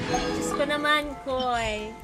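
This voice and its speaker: Woman, Filipino accent, high-pitched, leisurely pace, casual livestream host, prominent music behind. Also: takes it slowly. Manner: relaxed, switches between English and Tagalog. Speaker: livestream host playing a video game. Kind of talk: casual livestream chat with viewers. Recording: game music playing loudly behind. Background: loud video game music.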